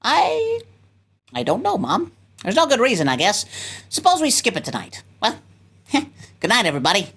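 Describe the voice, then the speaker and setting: A woman's voice: a little high, wildly swooping pitch, normal speed, long pauses, fairly bright tone, husky, exaggerated voice. A woman playing a man, a dutiful, affectionate grown son who commands a navy rocket, in a sci-fi radio play. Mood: guarded, affectionate.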